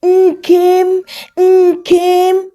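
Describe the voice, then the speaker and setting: A woman's voice: extremely high pitch, completely flat delivery, very slow, mellow, voice pushed up, exaggerated voice. A woman voicing a jealous, scheming mother of a grown son in a folktale, emphatic and commanding in manner. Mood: desperate shouting.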